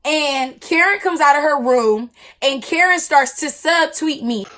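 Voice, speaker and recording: A woman in her twenties, a YouTuber telling a storytime with a loud, blunt, slangy delivery. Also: high-pitched, measured pace. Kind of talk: storytime vlog.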